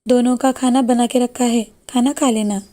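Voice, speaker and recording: A woman's voice: a little high, steady tone, moderate pace, dark tone, natural voice. A woman voicing a caring, concerned village mother in a cartoon moral story. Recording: background music under the voice.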